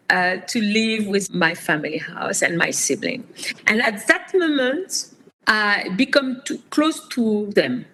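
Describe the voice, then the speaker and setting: Slow, halting woman in her fifties. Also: a little high, a bit bright, poor audio. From France. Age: 50s. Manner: slow, halting speech with frequent fillers and restarts. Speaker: interview guest. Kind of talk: personal storytelling interview.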